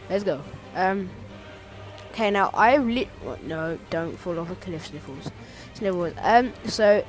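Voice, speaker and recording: A man giving casual, rambling let's-play commentary, playful and easily excited. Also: high-pitched, rough recording. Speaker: gameplay commentator. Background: game music.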